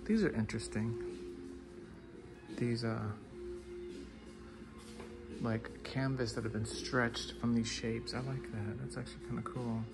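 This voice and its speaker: A man giving low-key, casual commentary as an artist walking through an art gallery, often trailing into murmured asides. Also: fairly even intonation, a little slow, long pauses, over music. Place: large indoor art gallery with hard floors.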